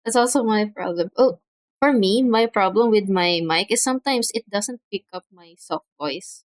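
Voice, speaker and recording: A young woman from the Philippines chats casually on her livestream, speaking softly with frequent pauses and fillers. Her cheap mic gives a dry, close sound in a room at home. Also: mid-range voice, no room sound. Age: young adult. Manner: soft-spoken, hesitant, many fillers. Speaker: streamer. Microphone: cheap desk mic.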